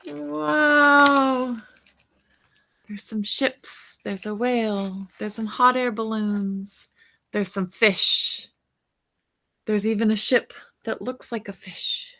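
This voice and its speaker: A woman's voice: a little high, slow, pushed and bright. A woman reading a picture book aloud as a teacher-storyteller, stretching out words and pointing out details to young listeners.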